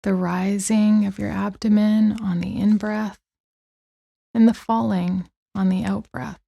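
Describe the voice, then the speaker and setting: Mellow, gravelly-edged woman guiding meditation. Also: mid-range voice, level tone. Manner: soothing, gentle, unhurried phrasing with long pauses. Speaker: meditation guide. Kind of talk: guided body-scan meditation.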